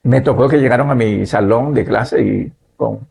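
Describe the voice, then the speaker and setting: Pastor guest in his fifties, from Nicaragua; gravelly, measured storyteller. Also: mid-range voice, a little mellow. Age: 50s. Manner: measured, adds "¿verdad?" tags. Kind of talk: relaxed testimony in conversation.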